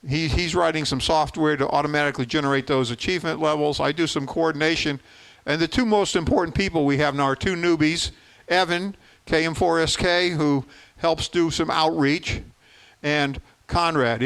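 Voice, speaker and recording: A man's voice: on the high side, a bit bright, clean tone. A man in his seventies giving an informal explanatory presentation as an organizer, folksy and plain-spoken, repeating words for emphasis.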